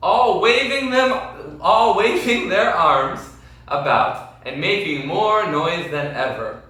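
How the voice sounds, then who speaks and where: A man reads a children's story aloud with slow, deliberate, dramatic phrasing. His bright voice rises and falls a great deal. The recording is very echoey, as if from across a room. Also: high-pitched, lively intonation. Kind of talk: expressive children's story reading. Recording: very echoey room, distant mic.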